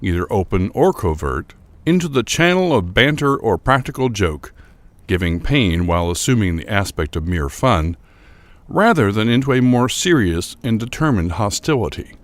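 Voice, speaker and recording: Male narrator for gothic horror audio drama: lower register, sweeping intonation, formal and measured literary delivery. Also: very animated, dry recording.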